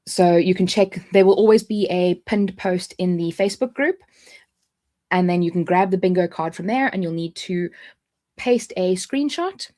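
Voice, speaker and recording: A woman's voice: lowish voice, moderate pace, dark tone. A woman with a South African accent hosting a casual livestream, chatty and jumping between points with frequent restarts. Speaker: livestream host.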